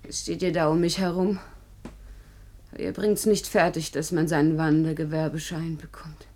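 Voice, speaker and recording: An actress in a German radio drama playing a fragile, desperate young jilted woman, halting and often hushed to a whisper. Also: deep voice, level tone. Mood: bitter, defiant.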